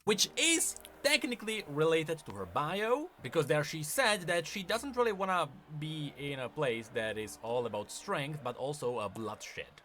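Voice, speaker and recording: Male lore video narrator, fairly high and lively, emphatic explanatory delivery, light ambient noise behind. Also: normal speed, hardly any pauses.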